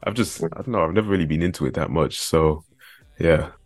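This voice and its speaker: A man's voice: a bass voice, a little fast, no room sound. A man hosting a casual podcast interview, chatty and laid-back with plenty of slang. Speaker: podcast host.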